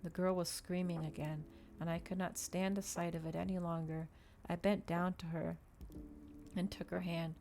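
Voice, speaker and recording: A woman's voice: lowish voice, level tone, normal speed, a little mellow, a little hiss or hum. A woman streamer doing an ASMR stream, reading a book and chatting casually to listeners. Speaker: VTuber streamer, reader.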